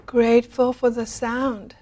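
A woman leading a guided meditation in a gentle, unhurried manner, leaving long pauses between phrases. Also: a little high, little pitch movement. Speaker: meditation teacher.

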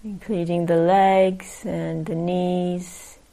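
Woman, meditation teacher, lowish pitch, even and flat intonation, gentle, long pauses, guided practice. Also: creaky.

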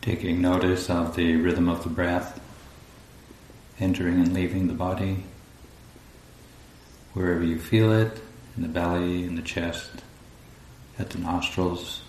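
Male meditation teacher: very flat, low delivery, slow and calm with long gaps, recorded in a reverberant room. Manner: calm, unhurried, long pauses between phrases. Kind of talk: guided meditation. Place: indoor room at a group retreat. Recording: roomy echo, faint low rumbling noise.

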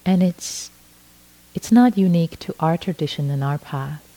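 A middle-aged woman from Iran, a native Farsi speaker, giving a gentle dharma talk as a meditation teacher, often restarting her phrases. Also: low voice, expressive, low-quality recording. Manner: slow, gentle, with frequent restarts.

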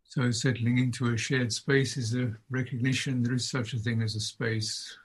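A man leading an unscripted guided meditation as a teacher, speaking in a contemplative way with long pauses between short phrases. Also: mid-range voice, level tone, husky. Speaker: Buddhist monk, meditation teacher.

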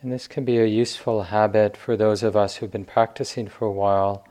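A man teaching meditation, giving gentle guided instruction in short phrases with long pauses. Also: fairly low pitch, fairly even intonation.

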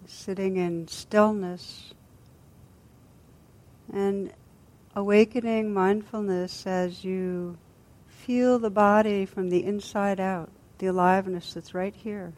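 A woman teacher leading a guided body scan meditation in a gentle, soothing, unhurried manner with long pauses. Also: medium pitch, fairly even intonation.

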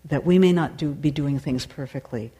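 A woman meditation teacher and singer answering audience questions after a dharma talk, thoughtful and searching, with many pauses and restarts. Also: low-pitched, dark tone.

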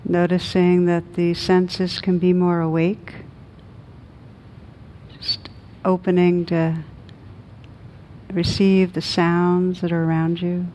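Slow, gentle woman guiding meditation. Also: on the low side, monotone, a little hiss or hum. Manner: gentle, soothing, unhurried with long pauses. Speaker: meditation teacher. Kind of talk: guided meditation.

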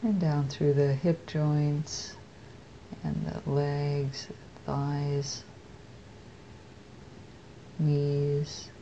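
An American woman leading a guided meditation as a teacher, speaking softly and unhurriedly with long pauses. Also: deep voice, level tone, muffled recording, a little hiss or hum.

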